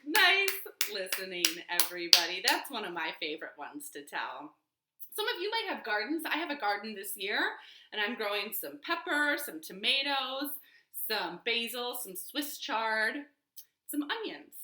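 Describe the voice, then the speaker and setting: A woman hosting a children's storytime, reading aloud and talking in a deliberate, sing-song way, recorded at home in a living room. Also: a little high, sing-song, pushed and bright. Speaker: library storytime host. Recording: roomy, echoey home recording.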